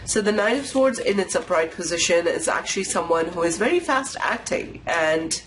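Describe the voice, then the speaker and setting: Tarot host with a cold: nasal, slightly raspy, unhurried and intuitive, mid-range pitch. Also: animated, a bit bright, a little hiss or hum, rough recording. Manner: unhurried, intuitive musing with pauses. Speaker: tarot reader. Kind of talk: pick-a-card tarot reading to camera.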